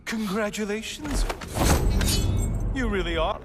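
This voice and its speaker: A man's voice: high-pitched, expressive, slow, very noisy. A man voicing a vain, bitter sun god in a video game, with a sarcastic, taunting, theatrical manner. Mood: mocking. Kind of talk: dramatic video game acting.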